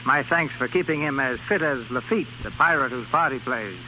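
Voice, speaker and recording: A man's voice: medium pitch, brisk, a little hiss or hum. A man hosting and narrating a 1930s radio theater program from a script. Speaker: radio show host and narrator. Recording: muffled 1930s radio recording with music.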